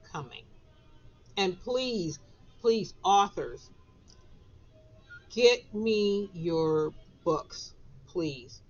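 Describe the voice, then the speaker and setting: A woman in her sixties with a Southern US accent, raspy after a flu, chatting casually to camera from her home office. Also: fairly low pitch, dull audio. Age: 60s. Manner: chatty, drawn-out, laughs between phrases. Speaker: author and vlogger.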